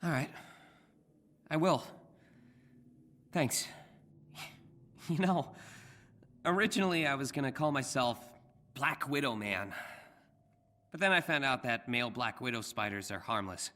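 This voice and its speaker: A man's voice: medium pitch, unhurried, long pauses, sharp tone. An American man voicing an earnest, awkward young superhero in a video game, speaking in a hesitant, rambling way. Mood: sheepish humour. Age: young adult. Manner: hesitant, self-conscious, rambling.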